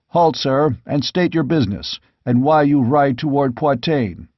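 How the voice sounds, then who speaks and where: A stern knight captain, read by a male narrator in a fantasy audiobook. He is proud, cold and commanding, with a medium-pitched voice. The sound is dry and a little dull. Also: a little slow, no echo, close to an everyday voice.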